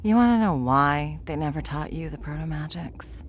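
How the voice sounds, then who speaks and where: A woman's voice: deep voice, animated, moderate pace, a little hiss or hum. A woman playing an ancient, deathless spellcaster, wry and self-assured, lecturing theatrically in a fantasy roleplay audio drama. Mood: animated explaining. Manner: theatrical, wry, leisurely lecturing with breathy asides.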